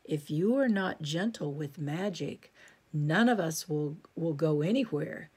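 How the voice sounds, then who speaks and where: A woman's voice: sits low, lively intonation, unhurried, a little mellow, breathy edge, exaggerated voice. A woman reading a storybook aloud, voicing a cranky old female fairy who scolds in a stern manner. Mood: scolding.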